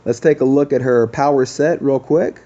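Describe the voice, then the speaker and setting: A man's voice: medium pitch, moderate pace, mellow, dull audio. A man doing casual, explanatory game commentary as the host of a gaming video.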